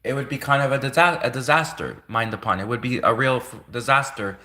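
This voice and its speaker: A man's voice: mid-range voice, brisk, sharp tone. A man who is a native Russian speaker, talking casually on a livestream in an earnest, rambling way and repeating phrases for emphasis. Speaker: livestream host.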